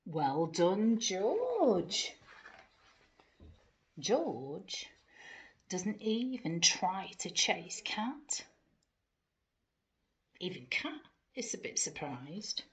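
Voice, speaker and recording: A woman reading a picture book expressively to young children, theatrical, asking the listener questions with hushed asides. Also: lowish voice, big pitch swings, extremely slow, long pauses. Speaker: primary school storyteller.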